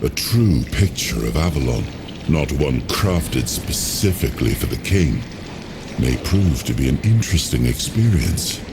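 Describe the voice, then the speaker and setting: An actor playing a solemn, grave legendary man in a fantasy video game, speaking in a measured, theatrical way. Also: rumbling low, sing-song, heavy background noise, full character voice. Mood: solemn musing. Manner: measured, theatrical, archaic phrasing. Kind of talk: dramatic game voice acting.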